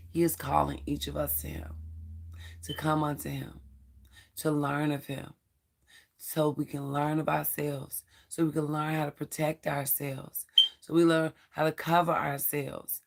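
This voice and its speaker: A hoarse Bible study host reading scripture slowly and with care. The voice is gravelly and frayed, and the host pauses and stumbles over the ancient names. Earnest spiritual asides come between the verses. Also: medium pitch, level tone. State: hoarse, losing voice.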